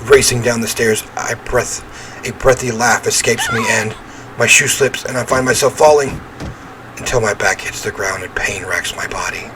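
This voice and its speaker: A man's voice: mid-range voice, moderate pace, noisy background. A man reading a visual novel aloud as he plays, with theatrical, emphatic narration and offhand reactions.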